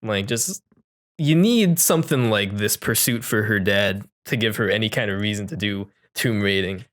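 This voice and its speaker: A man's voice: on the low side, normal speed, a bit bright. A man hosting a podcast, chatting about films in an informal, jokey and rambling way. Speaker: podcast host. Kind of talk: casual film discussion chat.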